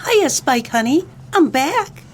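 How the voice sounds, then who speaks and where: A brassy female sidekick acted by a woman from a comedic noir radio play. Her voice is high and animated, with a perky, cheeky manner. The recording carries faint noise. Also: takes it slowly, exaggerated voice.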